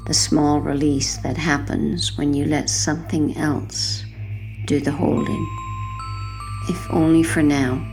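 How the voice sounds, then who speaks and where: Female hypnosis guide, low and slightly gravelly, soothing, hushed delivery for bedtime relaxation. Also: flat delivery, soft background music. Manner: soothing, hushed, unhurried with long pauses. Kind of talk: guided sleep hypnosis narration.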